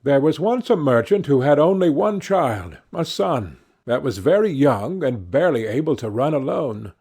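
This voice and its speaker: Male audiobook narrator for fairy tales: scripted storytelling, mid-pitched, very varied intonation. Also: sing-song, normal speed, warm tone, breathy edge.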